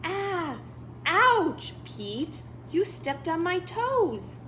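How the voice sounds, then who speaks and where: A woman voicing a friendly storybook squirrel who teaches dancing and is quick to complain. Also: very high-pitched, sing-song, sharp tone, a little hiss or hum, voice pushed up, exaggerated voice. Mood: pained, scolding.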